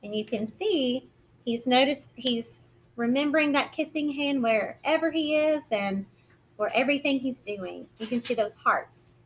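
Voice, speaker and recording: A woman reading a picture book aloud as a kindergarten teacher, gentle and expressive, explaining simply to young children. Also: high-pitched, measured pace, a little hiss or hum.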